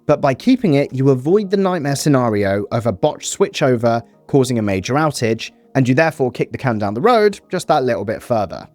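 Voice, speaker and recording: A man's voice: mid-range voice, animated, a little fast, hardly any pauses, darkish tone, faint music behind. A man presenting a scripted explainer with casual, conversational asides.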